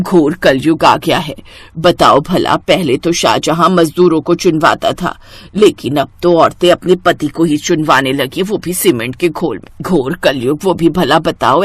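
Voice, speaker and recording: A woman puts on an anxious middle-aged mother-in-law for a comic storybook tale. Her voice is pitched down, and she grumbles and frets with gossipy drama. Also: measured pace, slightly rough, no room sound. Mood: appalled, grumbling.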